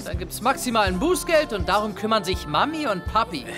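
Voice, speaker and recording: A man's voice: high-pitched, very animated, brisk, over music. A young man playing a smug, scheming teenage schoolboy in an audio drama, with a taunting, theatrical manner. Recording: long reverberant hall sound.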